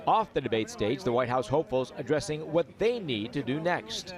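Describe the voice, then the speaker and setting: A man's voice: mid-range voice, sing-song, normal speed, crowd noise. A man working as a TV news reporter, delivering a live report with punchy broadcast narration.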